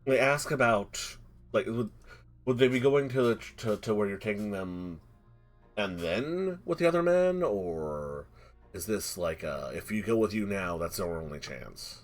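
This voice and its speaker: A man narrating a tabletop roleplaying game as game master, halting and repeating words as he improvises. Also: fairly low pitch, expressive. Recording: music playing behind the voice. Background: music.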